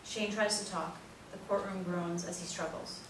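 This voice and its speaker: A woman's voice: on the low side, steady tone, moderate pace. A woman narrator reading scripted stage directions at a play reading, recorded in an echoey room. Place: echoey indoor room, far from mic. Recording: very echoey room, distant mic, noisy.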